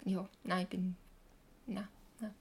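A woman with a middle-pitched voice chats informally as a podcast co-host. She follows attentively, putting in brief questions and acknowledgements and adding her own thoughts in everyday language. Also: level tone, slow pace, slightly rough. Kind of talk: casual podcast chat.

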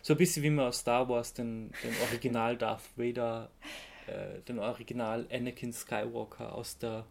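Austrian man, creaky voice, casual podcast host. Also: mid-range voice, steady tone, moderate pace, a little mellow, a little hiss or hum. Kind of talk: casual podcast chat, explaining.

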